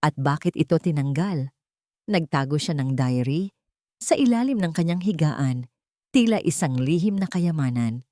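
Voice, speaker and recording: A woman narrates a dramatic audiobook. Her voice is slightly low and very mellow, with soft edges. The recording is dry, with no room sound. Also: expressive, moderate pace, no room sound. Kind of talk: scripted dramatic story narration.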